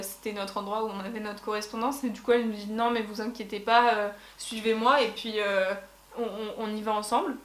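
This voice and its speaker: A woman's voice: medium pitch, a bit bright. A young woman vlogger telling a travel story in a casual chat, with many fillers and restarts. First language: French. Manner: chatty, lots of fillers and restarts. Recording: echoey room.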